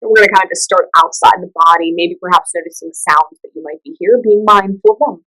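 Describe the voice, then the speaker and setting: A woman leading a guided meditation, giving gentle instructions in a soothing, unhurried and conversational way. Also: fairly low pitch, poor audio.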